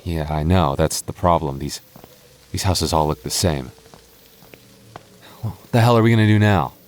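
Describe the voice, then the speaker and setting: A nervous young goth boyfriend with a deep, low voice, played by a man. He stumbles and repeats his words but stays tender and protective. Faint ambience sits behind him in this ASMR roleplay. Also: lively intonation, moderate pace, darkish tone, slight background noise. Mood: anxious. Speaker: voice actor.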